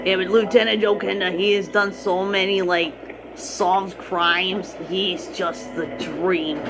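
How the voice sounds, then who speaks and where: A woman's voice: mid-range voice, level tone, slow, background noise. A woman playing a chatty, easily distracted, argumentative grandmother on a prank phone call, drawing out her words for emphasis. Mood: gushing, rambling. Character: chatty, defiant, easily distracted grandmother, swooning over TV men.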